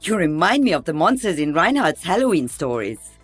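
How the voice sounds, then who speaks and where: A woman's voice: lowish voice, big pitch swings, fairly quick, slightly rough, no room sound, faint music behind. A woman playing an older veteran sniper in a video game, wry and teasing. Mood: dry.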